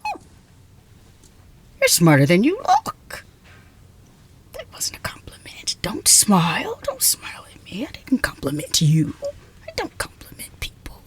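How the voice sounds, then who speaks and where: A woman playing a haughty, flustered reclusive scientist in a close ASMR roleplay, halting and repeating herself as she gives orders. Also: medium pitch, wildly swooping pitch. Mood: grudgingly impressed. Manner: halting, repetitive, sighing, imperious.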